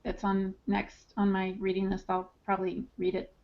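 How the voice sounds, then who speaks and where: A woman's voice: medium pitch, monotone, moderate pace. A woman talking in a casual, chatty style as a podcast co-host. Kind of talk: casual chat. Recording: dull, band-limited audio.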